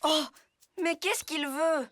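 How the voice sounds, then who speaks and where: A performer voicing a gentle, slightly timid little boy rabbit in a preschool cartoon, with a hesitant, childlike delivery. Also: sits high, steady tone, slow pace, heavy background noise. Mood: uneasy. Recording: loud music behind the voice. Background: children's music.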